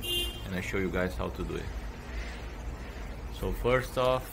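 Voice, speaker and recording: A man's voice: deep voice, measured pace, creaky, noisy background. A man hosting a tech channel, walking viewers through a computer reset in a plain, hesitant way with fillers. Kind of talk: casual how-to tutorial.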